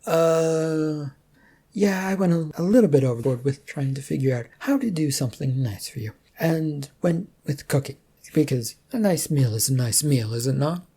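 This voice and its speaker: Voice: medium pitch, moderate pace, warm tone, slightly rough. A narrator voicing a teasing, curious woman friend in a story, talking in a casual, blunt way. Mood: sheepish. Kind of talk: amateur story reading in character.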